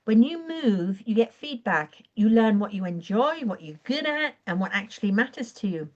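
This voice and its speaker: A woman coaching on a live stream, her voice croaky and her throat often clearing, talking in an earnest, encouraging way and now and then correcting herself. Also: a little high, expressive, moderate pace, darkish tone, lo-fi sound. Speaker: online business coach. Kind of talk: live-streamed training talk.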